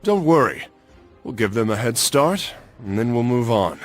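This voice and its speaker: An actor playing a calm, resolute young crusader knight in a video game, speaking steadily and solemnly. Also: male voice, medium pitch, moderate pace, soft background music, close to an everyday voice. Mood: calm, reassuring. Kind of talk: dramatic video game acting.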